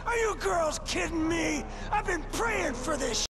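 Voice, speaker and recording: An actor playing an excitable, indignant man under attack in a game cinematic, exclamatory and theatrical. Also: extremely high pitch, measured pace, exaggerated voice. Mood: frantic. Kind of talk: dramatic game cinematic acting. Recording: loud music behind the voice.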